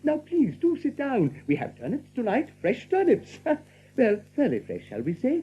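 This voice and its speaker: Swooping, genteel host rabbit. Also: male voice, very high-pitched, huge pitch swings, moderate pace, full character voice. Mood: welcoming. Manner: genteel, fussy, over-polite. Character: effusively polite, genteel host rabbit, smoothly evasive. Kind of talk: audio drama acting. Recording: muffled, faintly hissy old audiobook recording.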